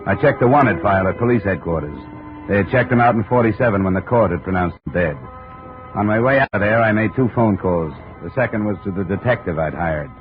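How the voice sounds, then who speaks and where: Hard-boiled, sardonic male investigator played by an actor, lowish voice, brisk and probing, on scratchy narrow-band 1950s radio. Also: animated, faint music behind, close to an everyday voice. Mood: matter-of-fact narration. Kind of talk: 1950s radio detective acting and narration.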